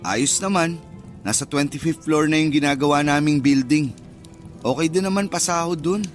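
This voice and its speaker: A man's voice: fairly high pitch, moderate pace, soft background music, lightly acted. An actor playing a superstitious, easily spooked young man chatting in slang in a radio drama. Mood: casual. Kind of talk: radio drama dialogue, casual banter.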